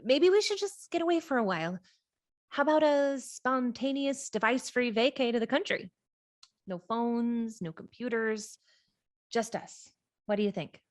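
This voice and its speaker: A woman's voice: a little high, animated, normal speed, lightly acted. A woman reading the part of an earnest, anxious young witch in a script table read. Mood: relieved.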